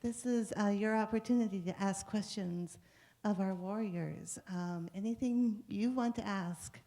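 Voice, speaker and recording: A woman moderating a panel in a dark, mellow voice. Her pitch is average, and she introduces things informally as the host of an event. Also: measured pace.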